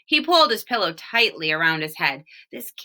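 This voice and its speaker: A woman reading a children's story aloud expressively, with deliberate emphasis on key words. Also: fairly low pitch, sing-song, sharp tone. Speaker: storybook reader, teacher reading for home learning.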